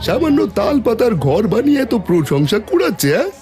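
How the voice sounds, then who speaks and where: A man's voice: very high voice, very animated, fast, over music. A man voicing a boastful, jealous, vindictive villager in a cartoon, with an indignant, whining manner. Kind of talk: Bengali cartoon voice acting.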